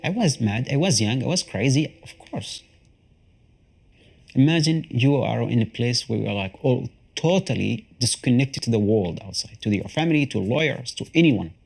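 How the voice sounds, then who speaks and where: A man in his thirties speaking halting, in a candid interview, repeating phrases as he goes. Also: medium pitch, expressive, vocal fry, a little mellow, husky. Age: 30s. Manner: halting, plain non-native English with repeated phrases. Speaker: former detainee being interviewed.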